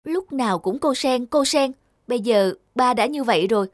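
A woman's voice: fairly high pitch, lively intonation, moderate pace, no room sound, studio quality, lightly acted. A woman voicing a stubborn, jealous little schoolgirl in a cartoon, pleading and sulky. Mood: sulky worry. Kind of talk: cartoon dub acting. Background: music.

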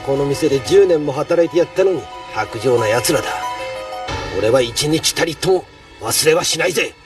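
A man's voice: a little high, expressive, normal speed, recorded from a distance, close to an everyday voice. Man acting an arrogant, vengeful villain chef. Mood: smug menace. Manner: rough, contemptuous speech with sudden bellowing. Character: vengeful scarred male chef, arrogant, bitter, sneering villain. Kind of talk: anime dramatic acting. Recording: music behind the voice.

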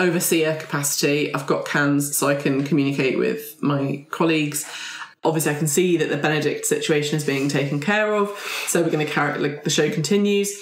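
A woman playing a roleplaying game, telling her part in a casual, halting way. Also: deep voice. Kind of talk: casual improvised storytelling among friends. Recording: roomy, echoey recording.

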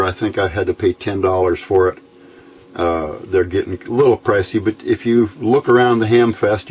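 A man giving an informal, unscripted hands-on tutorial in a plain-spoken, halting way, recorded indoors at a workbench on a camera mic. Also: on the low side, a little hiss or hum. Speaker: host of a hands-on electronics tutorial.

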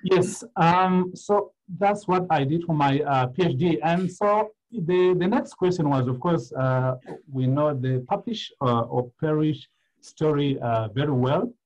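A man from Zimbabwe giving an academic presentation over a video call, pausing often with "uh" fillers. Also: on the high side, a little mellow. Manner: hesitant, many "uh" fillers. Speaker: guest speaker, economic historian. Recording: dull lo-fi video call audio.

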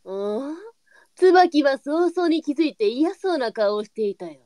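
A woman's voice: high-pitched, expressive, a little slow, dark tone, no echo. A woman playing a stern, nagging brothel madam with a motherly streak, speaking bluntly in old-fashioned scolding phrases.

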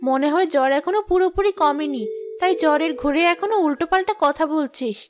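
A woman voicing a caring, firm young elder sister in a cartoon, practical and gently scolding. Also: high voice, fairly quick, close to an everyday voice. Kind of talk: Bengali cartoon voice acting.

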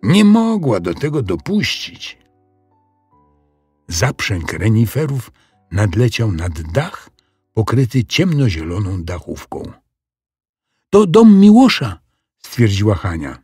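Male storyteller for children's audiobook: low, husky, very animated intonation, measured pace. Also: dry recording, studio quality, soft background music. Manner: expressive. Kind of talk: scripted children's audiobook narration.

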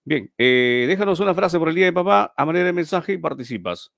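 A man's voice: on the high side, sing-song, fast talker, gravelly, a bit bright, no room sound. A middle-aged man hosts a live stream giveaway from a small room on a webcam, chatting, repeating himself and reading out viewers' names.

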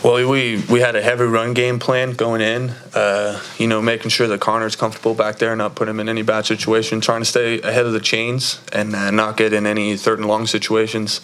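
A man in his early twenties, a college football player giving earnest, measured answers at a press conference. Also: fairly low pitch, monotone, a bit bright. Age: early 20s. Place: indoor press conference room. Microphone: tabletop microphone.

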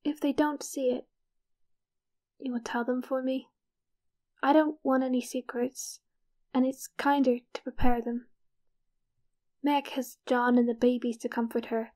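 Frail, high-voiced young woman. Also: flat delivery, measured pace, long pauses, a little mellow. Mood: weary, resigned. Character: gentle, frail, resigned nineteen-year-old young woman. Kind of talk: audio drama acting.

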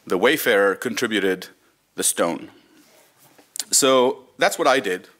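Man, thirties, Hebrew speaker, fairly low pitch, informal presenter, wry storytelling. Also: expressive, takes it slowly, a bit bright. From Israel. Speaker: conference speaker, volunteer digital librarian. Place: conference room, speaking from a lectern.

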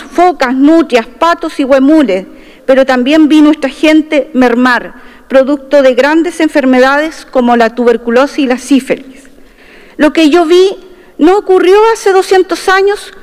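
A middle-aged woman reads a formal speech into a desk microphone, her tone earnest and proud. Her voice sits high. It carries a long reverb from the large wood-panelled chamber around her. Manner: earnest and proud, stressing key phrases. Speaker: constitutional convention delegate. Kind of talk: formal opening speech, partly read aloud. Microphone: desk microphone at a podium.

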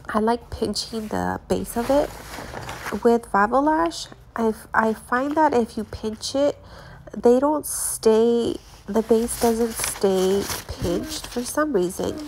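Congested young woman, gravelly and chatty. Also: fairly high pitch, slight background noise, low-quality recording. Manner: chatty, relaxed, with many fillers and restarts. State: congested from allergies. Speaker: lash technician reviewing products. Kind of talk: casual product review vlog.

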